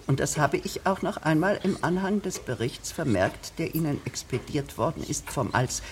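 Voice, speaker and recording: A woman's voice: deep voice, expressive, moderate pace, darkish tone, slight background noise. Fussy, long-winded woman village official. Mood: insistent. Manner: wordy, officious, digressive. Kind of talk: radio drama acting.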